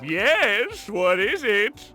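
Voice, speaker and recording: A very high, sharp little villain, sly and smug. He talks down to his listener in lively swoops, a scheming cartoon game character over faint music. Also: extremely slow, exaggerated voice. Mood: feigned innocence. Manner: sly, condescending.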